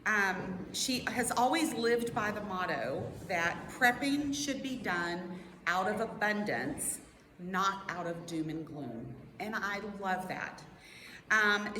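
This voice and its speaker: A woman with a mid-pitched voice presents to a group in a large, reverberant hall. She speaks in an earnest, conversational way, pausing between short phrases. Clear background noise sits behind her voice. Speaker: workshop presenter at a church women's meeting. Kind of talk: informal prepared talk to a group. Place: large echoey indoor room.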